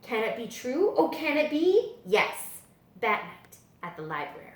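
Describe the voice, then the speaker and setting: A woman reading children's picture books aloud as a story-time librarian, with dramatic, expressive storytelling. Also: on the high side, measured pace. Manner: dramatic, animated storytelling delivery. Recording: echoey room.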